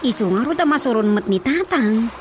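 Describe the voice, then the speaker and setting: A woman's voice: on the high side, lively intonation, fairly quick, darkish tone, natural voice. An actress playing a chatty, observant village woman in a conversational radio comedy, with an Ilocano accent and music behind. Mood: gossiping. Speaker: drama actor.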